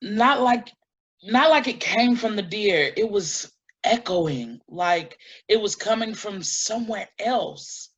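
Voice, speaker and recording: An actress playing a shaken, earnest woman giving deliberate testimony in a dramatic staged reading, on a lo-fi recording. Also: medium pitch, expressive, a bit bright, husky, heavily acted. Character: shaken, earnest woman recounting an eerie night in woods.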